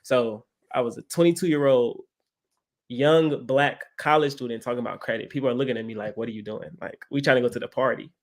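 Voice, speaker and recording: A man's voice: mid-range voice, brisk. A man in his twenties telling his story casually as an interview guest, often checking in with a tag question. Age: 20s. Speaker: guest, credit content creator.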